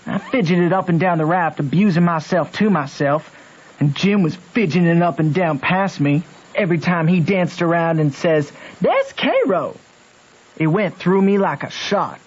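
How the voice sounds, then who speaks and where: An earnest backwoods boy with a high voice and a Southern American drawl. He talks in folksy, rustic grammar as he narrates and acts in a radio play, wrestling with his conscience. The sound is a dull old radio recording. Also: expressive, normal speed, breathy edge. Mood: troubled. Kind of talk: old-time radio drama, first-person narration and dialogue.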